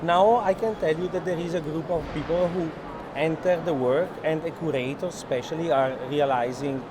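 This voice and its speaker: A man's voice: a little high, moderate pace. A man explains things in earnest, as a gallery owner in unscripted conversation, with hesitant phrasing. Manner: earnest, hesitant non-native English phrasing. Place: art fair hall, on a walking tour. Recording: noisy busy indoor fair hall.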